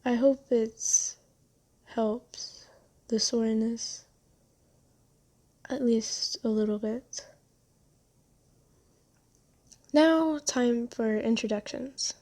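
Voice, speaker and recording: A woman playing a gentle, genteel vampire in an ASMR roleplay, soft-spoken, comforting and unhurried. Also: a little high. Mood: kindly hospitable. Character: gentle, soothing, genteel vampire who bought a captive. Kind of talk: ASMR roleplay, scripted character acting.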